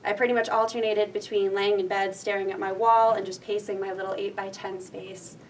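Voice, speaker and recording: A woman's voice: mid-range voice, little pitch movement, a little fast. A young woman from Rhode Island telling an earnest personal story on stage. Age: young adult. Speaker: student storyteller. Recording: distant mic with faint noise.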